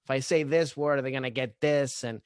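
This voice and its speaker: A man's voice: medium pitch, fairly quick, clear, resonant tone, no room sound, clean studio sound. A young adult man from Venezuela with a Venezuelan accent, a comedian chatting as a guest in a loose, rambling way with many restarts and fillers. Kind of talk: casual podcast chat.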